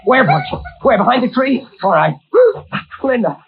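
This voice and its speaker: Anxious young man, protective brother in a forties radio thriller; very animated delivery, muffled noisy vintage recording. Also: very high voice, unhurried, full character voice. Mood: frantic, urgent. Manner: urgent, exclamatory, slangy. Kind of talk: 1940s radio thriller acting.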